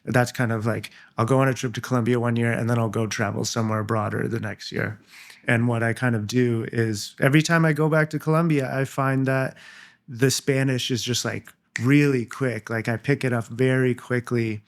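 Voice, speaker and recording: A man's voice: medium pitch, flat delivery, moderate pace. A young man from Canada, a guest in an interview, speaking casually with many fillers and restarts.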